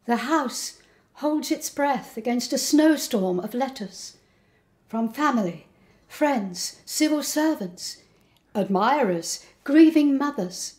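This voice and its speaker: A middle-aged woman performing a dramatic poetry recital with deliberate, theatrical phrasing. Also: sits high, lively intonation, a little mellow. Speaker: poet performing her own poems.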